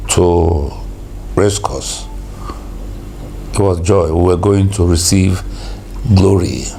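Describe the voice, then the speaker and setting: Older man, Nigerian accent, croaky, gravelly, dark tone, faint background noise. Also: sits low. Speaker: interview guest. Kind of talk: reflective storytelling.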